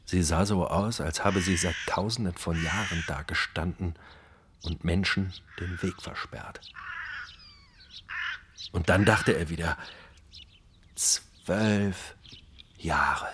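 A man's voice: deep voice, slow pace, pauses often, fairly bright tone, a little hiss or hum. An actor playing a brooding, intense male narrator of a prisoner's inner life in a literary radio drama. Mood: brooding, hushed.

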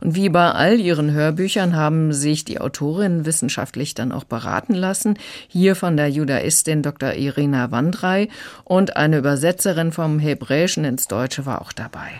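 A woman's voice: deep voice, normal speed, a little mellow. A woman book critic on a radio program explaining a recommendation in an engaged, explanatory way, with occasional hesitations. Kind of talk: radio review conversation.

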